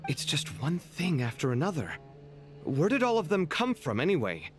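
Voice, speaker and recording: A man voicing a weary, concerned young desert ranger in a video game, expressive and exasperated. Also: fairly high pitch, moderate pace, music in the background. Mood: exasperated, puzzled. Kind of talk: game dialogue voice acting.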